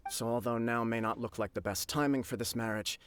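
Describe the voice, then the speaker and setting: A man's voice: medium pitch, fairly even intonation, brisk, a bit bright, a little hiss or hum, lightly acted. A man playing an earnest, humble, dutiful young military clan leader in a video game, speaking formally and a little stiffly.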